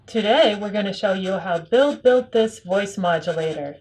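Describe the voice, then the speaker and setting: A woman's voice: medium pitch, lively intonation, moderate pace. A woman voicing a cheerful helper robot through a toy voice-modulator filter, speaking in clipped, announcing set phrases. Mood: formal greeting. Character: cheerful helper robot sweeper addressing a guardian.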